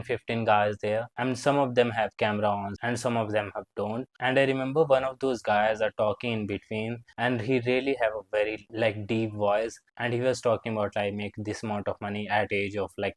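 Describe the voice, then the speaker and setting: Young guy in his 20s rambling thru a story, lowish voice, lots of "like", dry close sound. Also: fairly even intonation, brisk, dry recording, rough recording. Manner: rambling, filler-heavy, with frequent "like" and non-native grammar slips. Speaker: fitness vlogger. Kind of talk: casual storytelling to camera.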